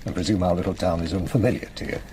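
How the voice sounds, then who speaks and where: An actor playing a stiff, formal and deferential local agent, speaking in a measured way in a radio drama. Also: male voice, sits low, steady tone, dark tone, slight background noise, lightly acted. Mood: reserved, formal.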